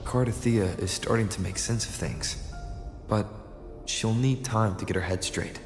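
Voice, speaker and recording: A man playing a calm, considerate young adventurer in a video game, speaking in a measured way. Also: on the low side, over music. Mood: calm, thoughtful.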